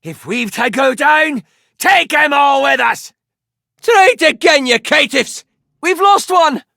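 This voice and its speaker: Brash, high-pitched brawling elf. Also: male voice, lively intonation, slow pace, gravelly, dry recording, clean studio sound, full character voice. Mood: furious, defiant. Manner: coarse, rustic fighting talk, shouted. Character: brash, hot-tempered brawling alter ego of an elf wizard. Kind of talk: video game combat barks.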